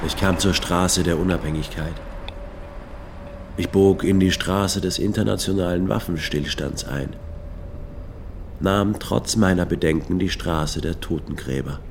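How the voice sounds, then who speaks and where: An actor playing a weary male writer with a gravelly voice, narrating his misadventures in a literary radio drama. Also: low voice, a little slow, a little hiss or hum. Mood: somber. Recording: music behind the voice.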